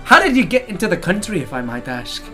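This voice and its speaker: An actor playing a devout warrior priest in a fantasy audio drama, gruff yet courteous, with old-fashioned speech. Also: male voice, high voice, very animated, quick pace, bright, forceful tone, faint music behind, exaggerated voice.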